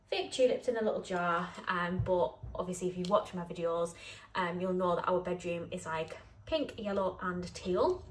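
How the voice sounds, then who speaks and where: Young woman, rambling home vlog chat, handheld camera, indoors. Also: on the low side. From North Yorkshire, England. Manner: chatty and rambling, many "like" and "um" fillers. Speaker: vlogger.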